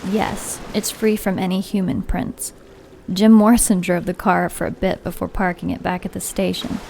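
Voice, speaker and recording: Gravelly female acolyte, played by a woman, matter-of-fact, slight noise behind. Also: lowish voice, steady tone, normal speed, a little mellow. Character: demon's loyal female acolyte.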